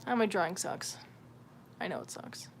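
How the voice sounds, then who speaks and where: A woman's voice: on the low side, moderate pace. A woman chatting casually as she hosts a livestream, informal and sweary, with a self-deprecating way of talking. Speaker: livestream host. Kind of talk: casual livestream chat while drawing. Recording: clear background noise and room ambience.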